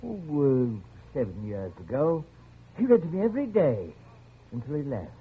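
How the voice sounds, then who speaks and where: A lonely old jungle settler in a vintage radio play. His voice is soft and very husky, swinging widely in pitch as he speaks with halting, genial courtesy and quiet menace. The sound is muffled and narrow, like an old broadcast. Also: sing-song, heavily acted. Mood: wistful, eager. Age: older. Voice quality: soft, chuckling. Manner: halting, gentle, repetitive. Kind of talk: 1950s radio drama acting.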